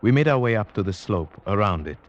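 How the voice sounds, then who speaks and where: A man playing a cultured, brooding huntsman who narrates gravely and formally in an old radio drama. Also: on the low side, lively intonation, brisk, dull audio, natural voice. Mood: grave narration. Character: cultured Slavic huntsman, brooding, at home in forests. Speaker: narrator and character. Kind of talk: 1940s-50s radio drama acting.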